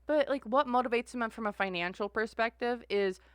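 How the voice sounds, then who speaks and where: A woman's voice: on the high side, brisk, clean tone, no echo, clean studio sound. A woman giving a casual tarot reading, rambling and filling her sentences with "like" and "uh.". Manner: rambling, heavy use of "like" and "uh". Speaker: tarot reader.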